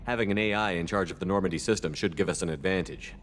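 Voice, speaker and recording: An actor playing a steady, direct military commander in a video game, with terse, matter-of-fact delivery. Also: male voice, sits low, fast, slight background noise, close to an everyday voice. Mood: focused, businesslike. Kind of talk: scripted video game dialogue.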